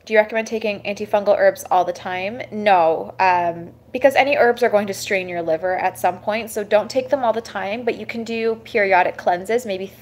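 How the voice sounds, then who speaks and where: A woman's voice: medium pitch, moderate pace, few pauses, a little hiss or hum. Woman with a sore throat, giving advice live. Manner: casual, advisory, many fillers and restarts. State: sore throat, losing her voice. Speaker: holistic health host. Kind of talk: live Q&A, reading and answering viewer questions. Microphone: webcam or phone livestream.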